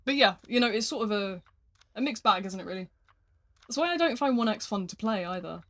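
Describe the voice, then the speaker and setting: A gaming streamer chatting casually during a live stream, answering viewer messages in a flat speaking voice. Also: mid-range voice, fairly quick.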